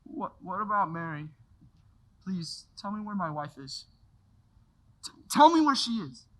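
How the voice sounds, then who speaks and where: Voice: medium pitch, big pitch swings, measured pace. A performer playing a desperate man pleading to get his old life back, frantic one moment and overjoyed the next. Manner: frantic, pleading, swings from despair to joy.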